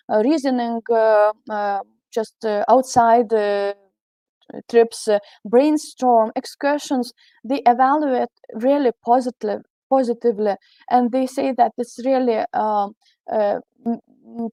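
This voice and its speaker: A woman's voice: on the high side, warm tone, no room sound. A woman with a Lithuanian accent giving a conference talk as a university lecturer, hesitant and full of fillers and restarts. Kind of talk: conference presentation.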